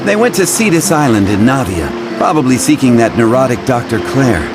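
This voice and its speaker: Wry, animated male operative, played by an actor. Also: mid-range voice, fairly quick, natural voice. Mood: dry, businesslike. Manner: matter-of-fact, dry. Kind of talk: video game dialogue acting. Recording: loud music behind the voice. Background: loud game music.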